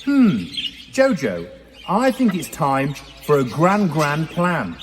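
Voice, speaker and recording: Guy doing a gentle granny from a kids book, slow n reassuring, lilting, echoey. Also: on the high side, big pitch swings. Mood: encouraging. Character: encouraging, calm grandmother. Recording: long reverb, faint background noise.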